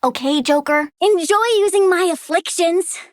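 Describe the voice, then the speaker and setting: Very high-pitched bratty little villain girl. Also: normal speed, dry recording, clean studio sound. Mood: snarky, proud. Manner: childish, bratty, cutesy delivery. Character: young girl plant villain, playful, snarky, gleefully menacing child. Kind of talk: video game character voice lines.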